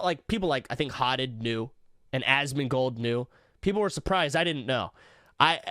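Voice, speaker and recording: A man's voice: medium pitch, fairly bright tone, clean tone, no echo. Man livestreamer rambling casually, close dry sound. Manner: rambling, sweary, slangy. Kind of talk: casual reaction commentary.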